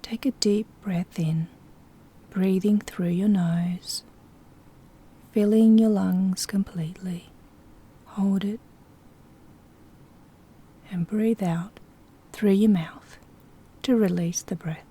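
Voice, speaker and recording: Soft, breathy woman guiding sleep hypnosis. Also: mid-range voice, fairly even intonation, slow, pauses often. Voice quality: breathy, whispery. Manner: gentle, soothing, instructing. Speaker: hypnosis guide.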